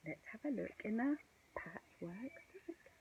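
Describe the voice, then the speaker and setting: A woman's voice: a little high, big pitch swings, slow pace, mellow, breathy edge, highs cut off, pitched above the performer's own voice, heavily acted. Woman voicing a bouncy, unflappable boy hero. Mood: confident. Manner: bouncy, chanting, commanding. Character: brisk, unflappable boy hero taking charge.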